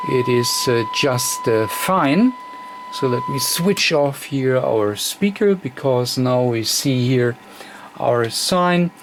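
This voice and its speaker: A man giving an unscripted technical demonstration as a radio technician, speaking in a halting, fragmented way with very frequent hesitations. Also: medium pitch. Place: indoor electronics test bench. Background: radio receiver noise.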